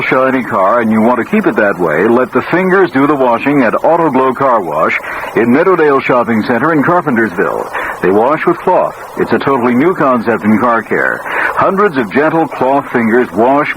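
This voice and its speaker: A man working as a local radio announcer, reading a scripted commercial. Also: mid-range voice. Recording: noisy old radio broadcast.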